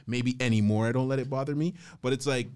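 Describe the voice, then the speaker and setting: A man from Saint Vincent co-hosting a podcast, talking in an animated way and sometimes stumbling over his first words. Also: mid-range voice, quick pace. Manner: animated, mocks accents, repeats himself when starting. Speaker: podcast co-host. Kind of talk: casual chat.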